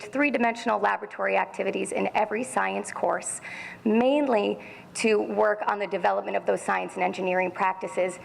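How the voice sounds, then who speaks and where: A woman supervising a school science department, giving a formal, measured presentation to a school board. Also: medium pitch, gravelly. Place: school meeting hall. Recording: reverberant hall.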